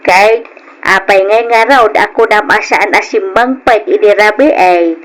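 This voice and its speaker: A woman acting as a concerned mother in an old radio serial. Her voice is mid-pitched as she questions and counsels her grown son. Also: a little slow, close to an everyday voice. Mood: questioning, concerned. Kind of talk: Ilocano radio drama acting.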